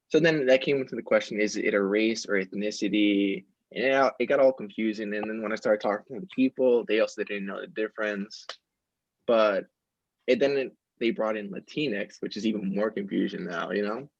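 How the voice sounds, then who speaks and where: A man's voice: on the low side, vocal fry, a little mellow, dry recording. A young man co-hosting a podcast, explaining casually in long run-on stretches. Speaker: podcast co-host. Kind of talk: casual discussion. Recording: dull, dry lo-fi mic.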